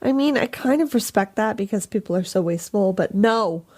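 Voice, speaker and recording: A woman's voice: medium pitch, animated, a little fast, a little mellow. A woman co-hosting a podcast with joking, exclamatory, casual banter. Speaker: podcast co-host.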